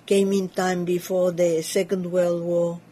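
An older woman who grew up in Cuba and speaks with a Cuban Spanish accent. Her first language was Yiddish, and she answers interview questions in English, often pausing to search for words. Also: lowish voice, little pitch movement, warm tone. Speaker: community leader. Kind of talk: interview conversation.

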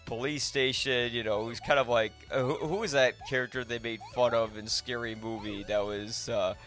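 A man gives a casual, conversational review as a podcast host, his voice a middling male pitch. He rambles along, leaning often on "like" as he works through his thoughts. Faint music plays underneath. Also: steady tone, moderate pace, fairly bright tone. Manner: rambling, filler-heavy with frequent "like". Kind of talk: casual film review chat.

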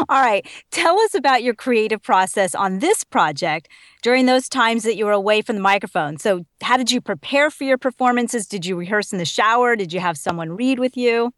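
A woman's voice: on the high side, lively intonation, moderate pace, a bit bright, no echo, clean studio sound. A woman interviewing a voice actor, asking questions in a friendly, easily amused way.

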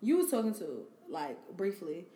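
A woman's voice: fairly low pitch, big pitch swings, mellow. A woman co-hosting a podcast, chatting casually, agreeing often and laughing along. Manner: laughs often, quick back-and-forth agreement. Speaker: podcast co-host. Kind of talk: casual chat. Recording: echoey room.

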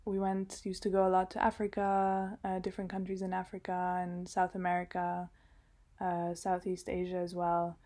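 Soft, mellow-voiced woman, hesitant. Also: on the low side, monotone, moderate pace. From Switzerland. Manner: hesitant, many fillers and restarts. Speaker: guest, designer. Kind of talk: casual interview answers.

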